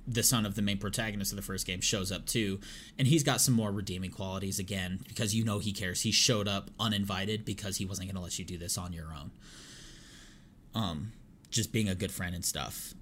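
Guy co-hosting a podcast, deep-ish voice, chatty and rambly, faint noise in the back. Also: steady tone, a little fast, fairly bright tone. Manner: rambling retelling with many fillers. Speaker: podcast co-host. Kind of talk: casual chat.

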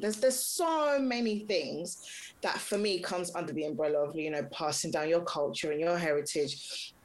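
A woman's voice: fairly low pitch, low-quality recording. A woman in her thirties, a consultant and content creator, talking casually about her life with frequent laughs and restarts. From Nigeria (Yoruba background), raised in Britain. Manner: chatty, laughs often, many restarts. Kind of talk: casual personal interview.

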